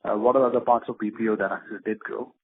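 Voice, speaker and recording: A man calling in as an analyst, asking polite questions in a husky voice with hesitant, conversational phrasing. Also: fairly low pitch, steady tone, very fast. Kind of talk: polite question on an earnings call. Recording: rough, narrow phone line.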